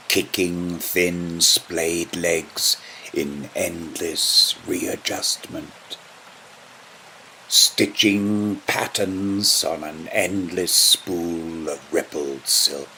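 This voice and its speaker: Deep voiced guy reading a poem super slowly, solemn, crisp bright tone, bit of background noise. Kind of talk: poetry reading.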